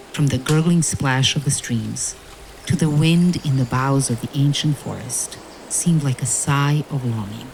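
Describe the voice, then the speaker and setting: A woman narrating a fairy-tale audio play in a measured, solemn storytelling manner. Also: rumbling low, darkish tone, a little hiss or hum, rough recording. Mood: wistful. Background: music.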